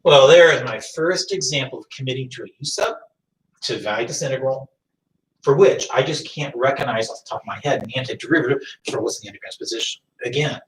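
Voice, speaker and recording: A man's voice: medium pitch, fast. A man whose first language is French teaches a calculus class and often stops to rephrase himself. He is recorded from across a classroom with a lot of room echo and a faint hiss underneath. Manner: thinks aloud, restarts and rephrases. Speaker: math teacher. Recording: echoey room, distant mic.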